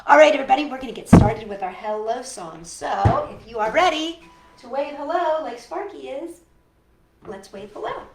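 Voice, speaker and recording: A woman hosting a storytime for young children, reading and singing along in an animated, encouraging way and addressing her listeners directly. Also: sits high, normal speed, reverberant, background noise. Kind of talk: read-aloud and sing-along for young children.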